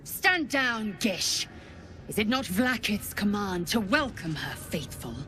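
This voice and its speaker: A woman's voice: medium pitch, sing-song, slow pace, pushed and bright, slight background noise, full character voice. An actress playing a fierce, proud githyanki warrior woman who speaks curtly and threatens openly. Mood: stern. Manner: curt, contemptuous, threatening.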